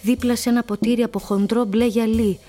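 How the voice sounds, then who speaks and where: A woman narrator reading scripted literary prose for a radio play in a measured, storytelling manner. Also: fairly high pitch, steady tone, dark tone, faint music behind.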